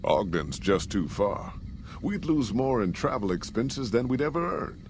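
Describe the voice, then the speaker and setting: An American actor playing a gruff, folksy caravan boss in a post-apocalyptic video game, with plain-spoken frontier drawl. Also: male voice, mid-range voice, very animated, a little slow, background noise. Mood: matter-of-fact. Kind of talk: scripted video game character dialogue.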